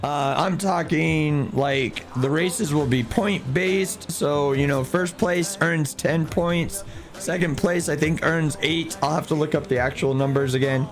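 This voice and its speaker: A hoarse man who has nearly lost his voice, chatting casually with viewers and a teammate while livestreaming a game. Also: fairly high pitch, a little slow. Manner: chatty, greets viewers by name. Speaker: streamer playing a driving sim. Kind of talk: casual live-stream chat.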